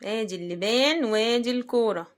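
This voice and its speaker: A woman's voice: fairly high pitch, a little fast. A woman with an Egyptian accent giving a casual, chatty running commentary as she unboxes things, often thinking aloud. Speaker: unboxing video host.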